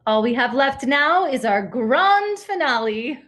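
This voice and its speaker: Woman, moderately high voice, event host, scripted delivery, speaking from home. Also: big pitch swings, unhurried, fairly bright tone. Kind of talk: scripted hosting to camera. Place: at home in an apartment.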